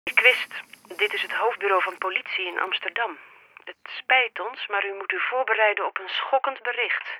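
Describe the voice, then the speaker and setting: Sharp tinny telephone voice, formal policeman. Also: high voice, moderate pace, no room sound, exaggerated voice. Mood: grave, formal. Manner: formal, careful. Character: formal, apologetic police officer breaking bad news. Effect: telephone filter. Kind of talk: radio drama acting.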